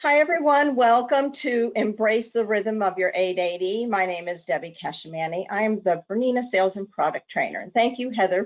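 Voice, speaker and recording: A woman's voice: medium pitch, dull audio. A woman product trainer presenting a webinar in an upbeat, conversational way with some fillers.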